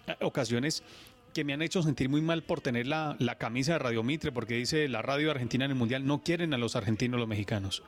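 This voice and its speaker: A Colombian radio sports journalist, a man, telling his stories in an earnest, animated way during a radio interview. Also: medium pitch.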